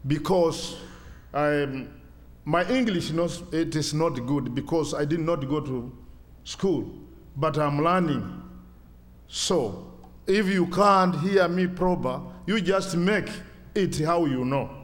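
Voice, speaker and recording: Older Kenyan man in his sixties, church testimony in hesitant English, fairly high voice, long hall echo. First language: Swahili. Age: 60s. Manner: halting, simple English with many pauses. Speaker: guest speaker at church.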